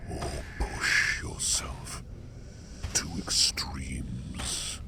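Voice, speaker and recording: ASMR sleep demon: solemn, protective, archaic phrasing, extremely gravelly, softly whispered, drawn-out delivery. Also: male voice, very deep voice, huge pitch swings, exaggerated voice. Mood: grave, soothing. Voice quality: gravelly, breathy half-whisper. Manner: formal, archaic, slow deliberate phrasing. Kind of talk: ASMR roleplay.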